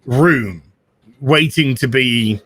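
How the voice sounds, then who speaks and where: A man in a casual group chat, joking and quick to laugh. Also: mid-range voice, a bit bright, slightly rough, no echo. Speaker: guest co-host.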